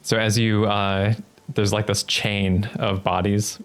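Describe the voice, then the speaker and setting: A man narrating a tabletop roleplaying game as game master, improvising scenes in a halting, thoughtful way. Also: lowish voice, little pitch movement, clear, resonant tone.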